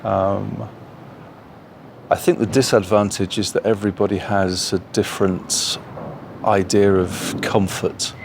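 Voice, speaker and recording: A man with a very deep voice describes office life in a calm, measured interview. He pauses often on "um" between points. Street noise and passing vehicles are clearly heard behind him. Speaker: office worker. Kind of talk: unscripted interview answer.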